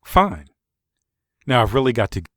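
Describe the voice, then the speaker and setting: A man playing a hurried, impatient playwright in an amateur audio drama, politely brushing people off in clipped replies. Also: medium pitch, big pitch swings, fairly bright tone, dry recording, clean studio sound.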